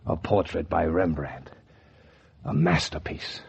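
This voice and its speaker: An actor playing a hard-boiled, greedy, excitable man in a 1940s radio noir drama. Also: a bass voice, slow pace, vocal fry, husky, heavily acted. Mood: tense narration. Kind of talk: 1940s radio noir acting and first-person narration. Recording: muffled, noisy vintage 1940s radio recording.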